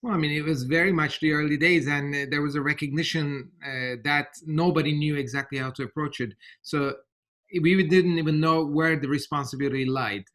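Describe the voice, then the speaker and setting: A middle-aged man from Turkey with a Turkish accent, giving a podcast interview in a measured, thoughtful way with many hesitations. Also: on the high side, fairly even intonation, a bit bright. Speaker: interview guest.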